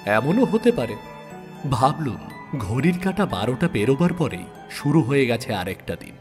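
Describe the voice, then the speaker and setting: A man tells a suspense story in a dramatic, measured narrator's style. His mid-pitched voice rises and falls with lively expression, and he leaves deliberate pauses between phrases. Music plays clearly behind him. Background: background music.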